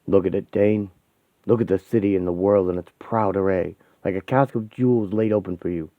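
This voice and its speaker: A man playing a cryptic old mentor in a story, solemn and coaxing, with grand, measured phrasing. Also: low voice, steady tone, dry recording. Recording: thin phone-like sound.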